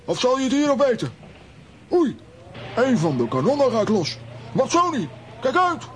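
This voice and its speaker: A man's voice: very high-pitched, sing-song, moderate pace, a bit bright, a little hiss or hum, exaggerated voice. A man playing a hot-headed, blustering comic adventurer in a children's radio play, theatrical and exclamatory.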